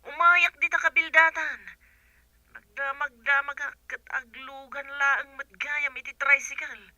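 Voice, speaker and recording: A pushy, lovestruck tomboy suitor with a piercingly bright, high voice and an Ilocano accent. She is insistent, in radio comedy-drama style. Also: level tone, unhurried, no room sound, exaggerated voice. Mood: pushy wooing.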